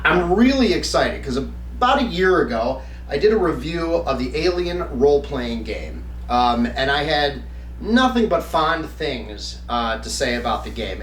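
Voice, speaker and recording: A man hosting a review, explaining to viewers in an enthusiastic but halting, emphatic way. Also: mid-range voice. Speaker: host of a game review channel. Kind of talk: semi-scripted review talk to viewers. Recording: roomy, distant mic with faint hum.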